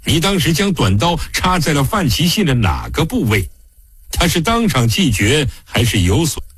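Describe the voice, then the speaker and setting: A man's voice: on the high side, big pitch swings, moderate pace, husky, no echo, pitched above the performer's own voice, heavily acted. A man narrating an audiobook, voicing a stern, fatherly investigating magistrate with a weighty, admonishing manner. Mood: probing.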